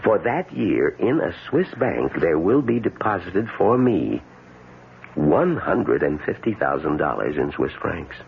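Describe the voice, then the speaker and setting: An actor playing a calculating charlatan doctor and faith healer, with formal, theatrical delivery in old-time radio drama style. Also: male voice, sits low, very animated, unhurried, creaky, a little hiss or hum, exaggerated voice. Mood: haughty, measured. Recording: dull lo-fi old radio recording, loud music.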